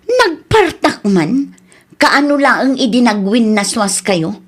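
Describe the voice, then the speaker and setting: Comedy radio drama: nosy, teasing female friend, chatty, fairly high voice, acted by a woman. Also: very animated, slow pace, full character voice.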